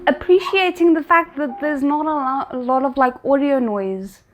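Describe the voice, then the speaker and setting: A woman giving casual, expressive reaction commentary on a series, thinking aloud in an informal way. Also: sits high, moderate pace, slight background noise. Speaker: reaction video host.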